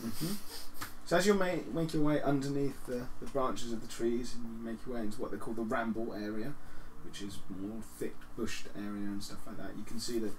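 A man runs a tabletop roleplaying game as its game master, with a mid-pitched voice. He describes scenes to his players, and there is faint room noise behind him. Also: fairly even intonation, a little fast, poor audio. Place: living room, group seated around a table.

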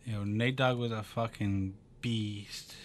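A young man co-hosting a podcast in casual chat, excitable, starting lines with "yo" and stumbling over restarts. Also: on the low side, slow pace, a little hiss or hum. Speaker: podcast co-host. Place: small radio studio. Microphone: studio mic on arm, headphones.

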